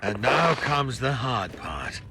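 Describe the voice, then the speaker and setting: A man voicing a sly underworld informant in a video game, florid, sardonic and deliberate in his speech. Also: medium pitch, big pitch swings, over loud music. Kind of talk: scripted video game dialogue.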